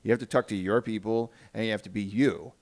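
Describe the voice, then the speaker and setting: A man's voice: lowish voice, steady tone, quick pace, darkish tone. A man hosting a casual podcast chat, talking informally and swearing casually. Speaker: podcast host and video producer.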